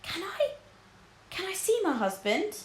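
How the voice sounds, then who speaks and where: A woman's voice: extremely high pitch, very animated, measured pace, reverberant, pitched above the performer's own voice, exaggerated voice. A woman voicing a grieving, tearful widow in a storybook, with a pleading manner. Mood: pleading, weeping.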